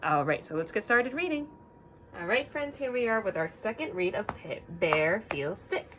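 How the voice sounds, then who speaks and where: A woman's voice: medium pitch, normal speed. Woman teacher reading aloud, with noisy background. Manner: expressive, encouraging pupils with frequent questions and prompts. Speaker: teacher reading a picture book aloud to young children. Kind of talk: children's read-aloud lesson with singing and questions.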